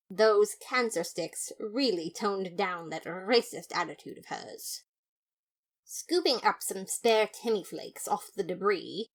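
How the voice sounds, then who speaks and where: A woman with a medium-pitched voice narrates an audio story. She delivers first-person detective narration in a dramatic, mock-serious way, playing up the comic noir style. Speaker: fan fiction narrator.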